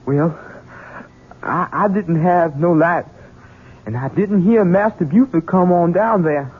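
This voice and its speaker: A dull old radio recording of a big simple country fellow. His high, husky voice is halting and childlike, troubled and a little dangerous, in vintage drama style. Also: exaggerated voice. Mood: agitated. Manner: childlike, halting, rustic speech. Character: simple-minded, strong, distraught, dangerous rustic grown son. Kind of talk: 1970s radio drama acting.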